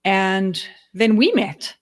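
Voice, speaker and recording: A woman's voice: mid-range voice, slow pace. A woman in her fifties with a South African accent, co-hosting a podcast from her home office in a reflective, chatty way with many restarts. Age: 50s. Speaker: podcast co-host. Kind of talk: casual conversation.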